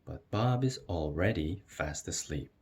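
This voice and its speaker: Deep, animated man reading a storybook aloud. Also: measured pace, slightly rough. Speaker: storyteller reading a children's picture book aloud. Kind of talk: expressive read-aloud narration.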